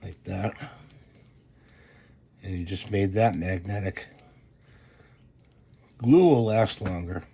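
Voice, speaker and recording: A hoarse man with a very low voice talks through a do-it-yourself hack in plain, easygoing steps, like the host of a home repair channel. A faint hiss sits under his voice. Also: mellow. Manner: plain, unhurried instructions with fillers. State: hoarse from a cold. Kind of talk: casual how-to demonstration.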